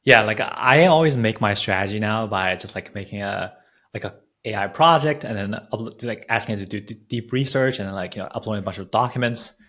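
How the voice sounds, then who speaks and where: A man's voice: lowish voice, a little fast, fairly bright tone. A man hosting an interview in a casual, informal way, thinking aloud and repeating words as he goes. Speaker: podcast host, interviewer.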